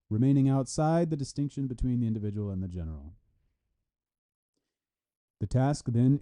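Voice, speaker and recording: A man reading a scholarly text aloud with deliberate, halting phrasing. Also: medium pitch, dark tone. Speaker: reader of a philosophy article. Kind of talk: read-aloud scholarly text with brief explanatory asides.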